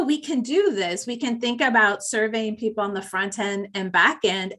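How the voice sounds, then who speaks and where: A loud-voiced woman at an ordinary middle pitch, talking through her ideas with plenty of fillers and restarts. She speaks as a panelist on an online panel over a video call. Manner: loud voice, thinks aloud with many fillers. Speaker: panelist, campaign director. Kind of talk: virtual panel discussion.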